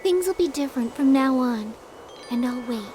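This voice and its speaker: An actress voicing a gentle young woman who carries a heavy burden, speaking softly and earnestly. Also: sits high, expressive, normal speed, background noise. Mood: resolved. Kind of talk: video game dub acting.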